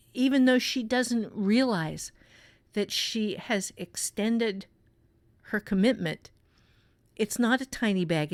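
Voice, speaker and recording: A woman improvising a story in a conversational writing lesson, thinking aloud in a halting way with repeated phrases. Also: fairly high pitch, dry recording. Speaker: author and writing teacher.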